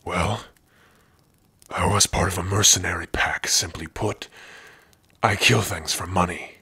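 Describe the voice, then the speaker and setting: A man voicing a big, gruff orc prisoner in an ASMR roleplay, brooding and sardonic, with a husky, rough voice. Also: sits low, steady tone, a little slow, bright, forceful tone, no echo, heavily acted. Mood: warming, gruff. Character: big gruff male orc prisoner, guarded but lonely. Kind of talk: ASMR roleplay addressed to the listener.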